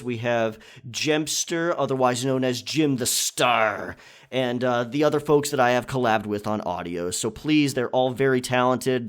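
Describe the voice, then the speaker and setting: A man with a mid-pitched voice talks casually to his listeners as the host of his own audio channel. His delivery is conversational and chatty, like an informal question and answer session.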